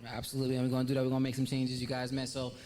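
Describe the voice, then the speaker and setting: A man's voice: mid-range voice, monotone, rapid-fire, darkish tone, a little hiss or hum. A man hosting a live event interview, upbeat and casual, ending many phrases with "man" and "right". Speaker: host.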